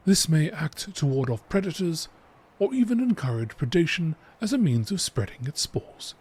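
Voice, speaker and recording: A man reading encyclopedia entries aloud in a measured, informative nature documentary style. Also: a little high, very animated, darkish tone, slight background noise.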